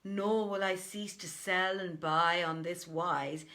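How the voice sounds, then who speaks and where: A man's voice: sits high, steady tone, slow pace, sharp tone, full character voice. A woman voicing a boastful, greedy glassware seller, a man musing grandly on future riches in a storybook tale. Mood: excited scheming. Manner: grandiose, self-absorbed musing. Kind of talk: dramatic storybook reading.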